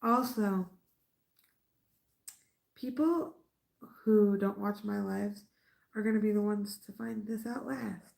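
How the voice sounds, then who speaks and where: A woman's voice: mid-range voice, takes it slowly, vocal fry, long pauses, darkish tone, low-quality recording. A woman hosting a crochet livestream in casual late-night chat, chatty and playful, with a slightly stuffy nose. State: stuffy nose from a mild cold. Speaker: crochet channel host.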